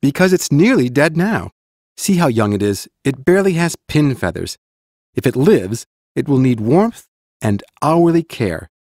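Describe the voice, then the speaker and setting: An actor playing a stern but kindly mage teacher in an audiobook, with a measured, instructive, dryly practical manner. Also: male voice, mid-range voice, big pitch swings, a little mellow, dry recording, studio quality. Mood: cautioning. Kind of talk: full-cast audiobook dialogue.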